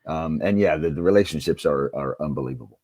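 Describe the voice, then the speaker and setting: Male, middle-aged, American. Deep register, dry recording, anecdotal ex-coach guest in conversation. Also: quick pace, darkish tone, no echo. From United States. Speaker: interview guest, former basketball coach and author. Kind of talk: conversational interview storytelling.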